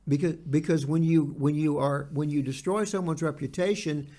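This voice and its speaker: A man co-hosting a podcast, talking in an earnest, halting way and repeating words for emphasis. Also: fairly high pitch, fairly even intonation, dark tone. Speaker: podcast co-host. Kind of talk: conversational podcast discussion.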